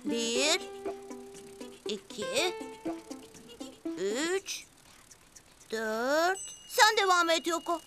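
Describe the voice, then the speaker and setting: A young cartoon rabbit boy, with a very high voice. He is friendly and helpful, explaining things step by step with music behind. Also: big pitch swings, very slow, long pauses, full character voice. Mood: instructive. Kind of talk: children's cartoon dub.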